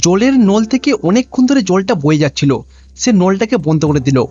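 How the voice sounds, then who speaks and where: A man's voice: high voice, big pitch swings, a little fast, mellow, no room sound, muffled recording. A man reading a scripted cartoon story as narrator.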